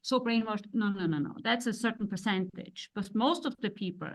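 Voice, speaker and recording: A woman's voice: mid-range voice, brisk. A woman, a guest in a casual interview, giving her opinions at length and often restarting her sentences. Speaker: guest, wife of the other guest.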